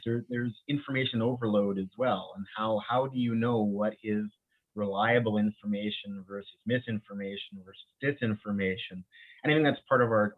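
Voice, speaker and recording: A man who grew up in the Bahamas, an infectious disease physician speaking as an interview guest, halting and restarting often, with frequent light laughs. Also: fairly low pitch. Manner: halting, many restarts and repeated words; laughs often while talking. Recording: tinny phone-like line.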